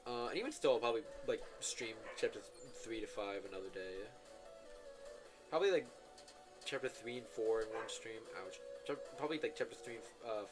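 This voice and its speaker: Animated young-sounding man streaming a game. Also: mid-range voice. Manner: rambling, lots of filler words and self-corrections. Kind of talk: casual live-stream gameplay commentary. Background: game music.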